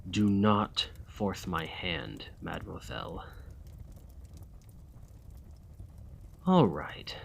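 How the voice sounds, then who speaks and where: A man playing a desperate, weary immortal gentleman vampire in an ASMR roleplay, speaking formally and courteously in a breathy, husky voice that often drops to a whisper. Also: lowish voice, a little hiss or hum, heavily acted. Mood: wary. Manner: formal, courtly, old-fashioned phrasing.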